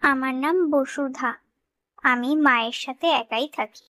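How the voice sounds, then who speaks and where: A woman's voice: sits high, fairly even intonation, a little slow, pushed and bright, dry recording. A woman voicing a kind, humble young village girl in a fairy-tale cartoon, speaking earnestly.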